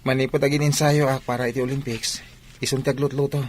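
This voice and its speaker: A man's voice: mid-range voice, level tone, fast, a little hiss or hum, natural voice. A man with an Ilocano Filipino accent playing an earnest young student athlete in an audio drama. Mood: friendly, casual. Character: earnest young male student athlete devoted to his mother. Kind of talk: amateur audio drama acting.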